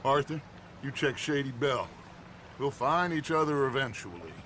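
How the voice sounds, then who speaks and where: An actor playing a middle-aged American outlaw gang leader in a video game, grandiloquent and authoritative. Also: male voice, mid-range voice, animated, normal speed, natural voice. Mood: urgent, persuasive. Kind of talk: video game drama acting. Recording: game audio with loud ambient noise.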